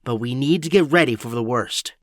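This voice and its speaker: A man's voice: mid-range voice, brisk, dry recording, clean studio sound, lightly acted. A young man playing an anxious, earnest teenage boy hero in an audio drama, urgent and a little breathless. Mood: determined.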